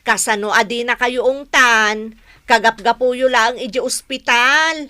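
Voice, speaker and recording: A lively Ilocano elder sister in a radio comedy. Her voice is highish and very bright, expressive and plain-spoken. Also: unhurried, dry recording. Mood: exasperated. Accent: Ilocano, northern Philippines. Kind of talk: radio comedy drama acting.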